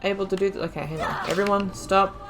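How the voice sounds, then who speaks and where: A woman's voice: medium pitch, steady tone, quick pace, creaky, over music. A young woman giving casual let's-play commentary, chatting and thinking aloud about her choices in the story. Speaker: gaming video creator.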